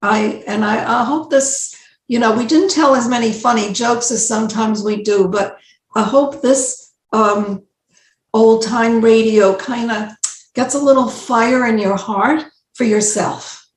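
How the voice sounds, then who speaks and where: A woman's voice: a little high, measured pace. An older American woman hosting a casual chat from a room at home over a video call. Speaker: host. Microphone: computer mic on video call. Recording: roomy echo on video call.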